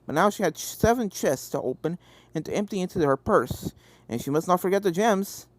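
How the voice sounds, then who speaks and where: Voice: mid-range voice, expressive, brisk, no room sound. A reader giving scripted narration of a fan fiction story. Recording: game music behind the voice.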